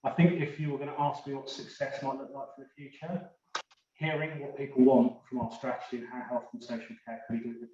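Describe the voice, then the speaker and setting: A man's voice: medium pitch, dark tone, a little hiss or hum. Very echoey, distant man on a panel. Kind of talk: earnest unscripted panel remarks. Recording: very echoey, distant, dull room sound.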